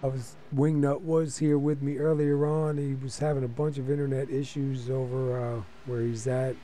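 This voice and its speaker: A man calling a sim racing league, hoarse from a cold, talking in a relaxed way with frequent fillers. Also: mid-range voice, normal speed, slight background noise. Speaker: race commentator. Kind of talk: sim racing play-by-play commentary.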